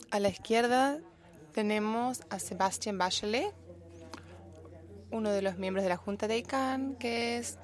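Woman interpreter, Rioplatense accent, mid-pitched voice, steady even delivery. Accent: Rioplatense Spanish. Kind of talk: simultaneous interpretation.

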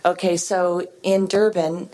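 A woman moderating a meeting session in a relaxed, chatty way, pausing often with ums and restarts. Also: lowish voice, rough recording. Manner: hesitant, lots of ums and restarts. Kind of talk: casual meeting chat.

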